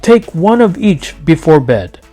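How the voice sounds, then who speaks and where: A self-assured, clinical storybook medical specialist, put on by a male narrator. The voice is pushed high, with clipped pronouncements over music. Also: sing-song, measured pace, mellow. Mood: matter-of-fact. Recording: music behind the voice.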